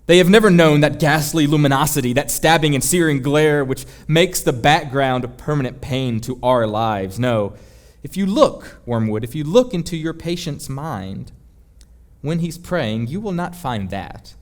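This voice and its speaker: A man voicing a senior demon who instructs his apprentice in formal, deliberate, scheming words, as a dramatic book reading. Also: a little high, animated, close to an everyday voice. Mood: coolly instructing.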